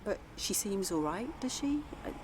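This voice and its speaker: A woman's voice: mid-range voice, big pitch swings, normal speed, background noise, natural voice. A woman playing a polite, worried campaigner in a naturalistic audio drama, hesitant and earnest. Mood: anxious, polite. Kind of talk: naturalistic radio drama acting.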